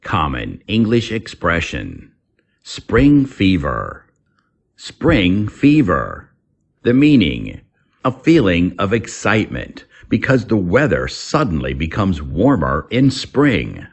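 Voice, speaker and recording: A man reading an English lesson aloud in a deliberate, instructional way, as a teacher does. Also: sits low, wildly swooping pitch, gravelly, husky.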